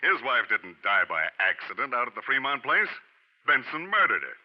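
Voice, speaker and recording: A man's voice: medium pitch, sing-song, normal speed, close to an everyday voice. An actor playing a jovial, sly police lieutenant who chuckles and draws out his news in an old radio drama. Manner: chuckling, folksy, cat-and-mouse. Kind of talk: 1940s radio acting. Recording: dull vintage radio recording.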